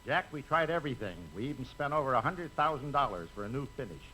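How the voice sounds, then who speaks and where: Exasperated studio mogul, medium pitch, dry punchlines, noisy old radio sound. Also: male voice, expressive, quick pace, pushed and bright. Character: blunt, exasperated male movie studio boss. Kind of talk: 1950s radio comedy acting. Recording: noisy 1950s radio recording.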